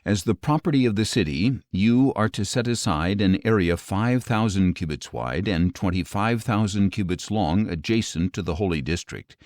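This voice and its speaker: Deep male bible narrator, solemn and measured, really dry close studio sound. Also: expressive, darkish tone, no room sound. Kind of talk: scripted scripture reading.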